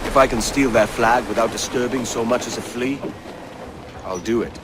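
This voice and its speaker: A man's voice: medium pitch, moderate pace, very noisy, lightly acted. A man playing a blunt, sceptical young pirate captain in a video game, with a Welsh accent and a wry, plain-spoken manner. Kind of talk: dramatic game cutscene acting.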